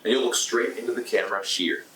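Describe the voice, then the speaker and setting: A man's voice: lowish voice, fast talker, pushed and bright. An actor playing a coolly manipulative male production official in dramatic game dialogue. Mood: coolly coaxing. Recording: echoey noisy tape from far off.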